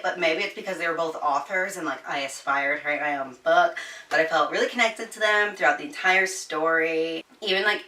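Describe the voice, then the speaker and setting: A woman's voice: lowish voice, pushed and bright, distant mic. A woman hosting a casual, chatty book review, informal and giggly, with some swearing. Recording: roomy echo, slightly off-mic.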